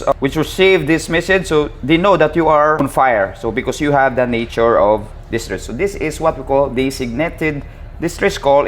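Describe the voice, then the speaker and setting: A man casually teaching a hands-on equipment tutorial as an instructor, halting and repetitive, often addressing his listeners directly. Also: on the high side. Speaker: maritime instructor and channel host.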